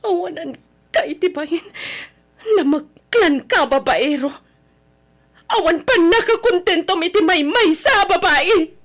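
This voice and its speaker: A woman's voice: lively intonation, a little slow. A young woman acting a heartbroken, betrayed girlfriend in a radio drama, with a tearful, pleading, melodramatic delivery. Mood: tearful, accusing. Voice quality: tearful, very high voice.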